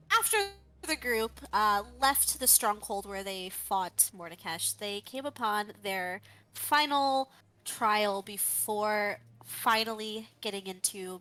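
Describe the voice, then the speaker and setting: A woman, a player in a tabletop game, telling the recap of the last session in an animated way. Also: mid-range voice, low-quality recording. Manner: animated storytelling. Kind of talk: recapping the story.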